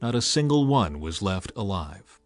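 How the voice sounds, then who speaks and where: A man reading scripted scripture narration in a measured, solemn storytelling manner. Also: fairly low pitch, big pitch swings, no room sound. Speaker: narrator of a dramatized audio Bible. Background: music under the voice.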